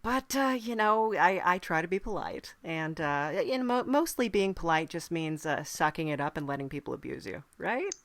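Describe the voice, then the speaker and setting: A woman plays a wry podcaster from Hell in a lowish voice. The delivery is deadpan and hesitant, like improvised comedy storytelling. The sound is dry and close. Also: moderate pace, few pauses, no room sound. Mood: wry, halting. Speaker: podcast host.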